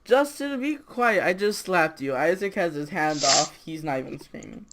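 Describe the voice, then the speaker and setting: A man's voice: on the high side, moderate pace, fairly bright tone, a little hiss or hum. A man playing a casual, dismissive honey-loving bear who shrugs off disaster in a comic improvised story. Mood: scolding.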